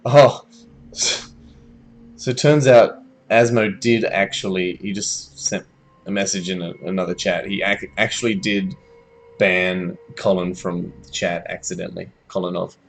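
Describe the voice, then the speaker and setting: A man's voice: low voice, fairly even intonation, unhurried, vocal fry, a little hiss or hum. A young Australian man with an Australian accent, thinking aloud and chatting with viewers while streaming a game. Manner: thinks aloud, chats with viewers, yelps when startled. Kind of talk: casual live gameplay commentary.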